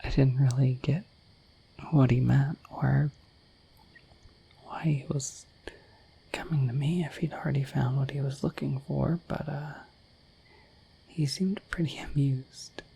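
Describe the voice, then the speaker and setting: A dorky, friendly dragon in human-like shape, played by a woman, speaks in a low, very breathy whisper with a creaky edge. The delivery is gentle and hesitant, a rambling ASMR bedtime roleplay. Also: fairly even intonation, a little slow, long pauses, full character voice, rough recording. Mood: musing, gentle.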